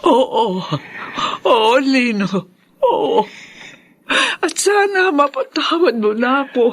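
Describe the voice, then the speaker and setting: Radio drama penitent older woman, weary and imploring, very slow delivery, expressive pitch. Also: a little hiss or hum. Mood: tearful pleading. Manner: slow, emotional, pleading. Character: remorseful older mother-in-law, weary and pleading. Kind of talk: Tagalog radio drama acting.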